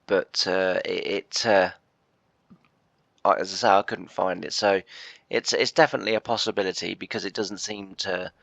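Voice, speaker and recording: A man with a croaky, bunged-up voice from a chest cold, rambling through casual gameplay commentary with lots of hesitations. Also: low voice, steady tone, moderate pace, fairly bright tone, dry recording. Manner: rambling, hesitant, full of "uh" and restarts. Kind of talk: casual let's-play commentary.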